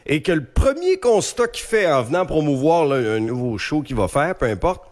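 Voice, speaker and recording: A man's voice: on the high side, moderate pace. Male radio columnist, thirties, Quebec French, stuffed-up sound, very varied intonation, conversational and explanatory. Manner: animated, colloquial, explanatory. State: congested from a respiratory infection. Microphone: close studio microphone, remote video link.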